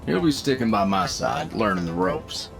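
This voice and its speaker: A man's voice: fairly low pitch, sing-song, normal speed, gravelly, music in the background. A man playing a cocky, seasoned demonic hunter who teaches an apprentice in a folksy drawl, in an audio roleplay.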